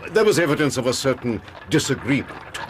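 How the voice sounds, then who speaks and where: An actor as a formal, guarded English army major. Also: male voice, mid-range voice, sing-song, fairly quick, noisy background, natural voice. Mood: grave. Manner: clipped, formal, earnest. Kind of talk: period radio drama acting.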